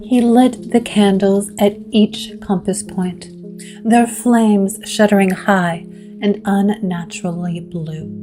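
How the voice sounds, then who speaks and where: A woman narrator reading scripted gothic horror in a measured way, with dramatic pauses. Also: medium pitch, steady tone, mellow, soft background music.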